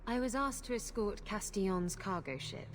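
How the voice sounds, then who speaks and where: An actress playing a brash, flirtatious pirate captain in a video game cutscene, teasing and sardonic. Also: female voice, mid-range voice, moderate pace, noisy background, natural voice. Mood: sober, reflective.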